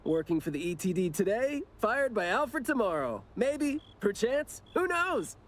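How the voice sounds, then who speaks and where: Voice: medium pitch, sing-song, unhurried. A performer voicing a laid-back, chatty young investigator in an anime game, with a casual, teasing manner. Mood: playful.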